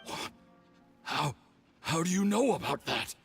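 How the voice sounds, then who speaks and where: A defiant, wounded agent in a video game. His voice is thick with heavy creak and swings widely in pitch. He sounds proud but badly hurt, over faint music. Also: sing-song, unhurried, fairly bright tone, exaggerated voice. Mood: shocked outrage. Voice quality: gravelly, creaky.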